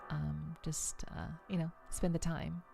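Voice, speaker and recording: A woman livestreamer chatting casually to viewers in an ASMR style, with a soothing voice and a relaxed, halting, unhurried delivery. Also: deep voice, gravelly, faint music behind. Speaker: VTuber livestream host.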